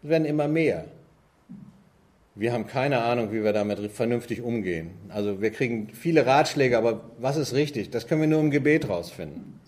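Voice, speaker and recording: Man of average pitch, church team leader, conversational and earnest, posing rhetorical questions. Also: animated, brisk, a little mellow. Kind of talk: church talk.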